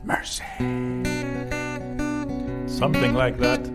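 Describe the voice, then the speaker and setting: Male, actor as sheepish merciful loan shark, slow and hesitant, loud guitar underneath. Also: mid-range voice, little pitch movement, close to an everyday voice. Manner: hesitant, groping for words. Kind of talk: improvised audio comedy with guitar. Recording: loud guitar music behind.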